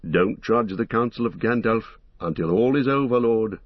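A man voicing a calm, commanding warrior-lord. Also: on the low side, no room sound, natural voice. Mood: reassuring. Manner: calm, firm, measured. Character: noble male warrior-king, calm, commanding. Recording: dull lo-fi recording.

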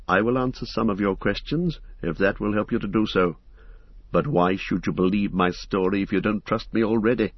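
A man voicing a grim, stern ranger in an audiobook, grave and measured. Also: on the low side, dry recording, close to an everyday voice. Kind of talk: audiobook character voice. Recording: slightly muffled audio.

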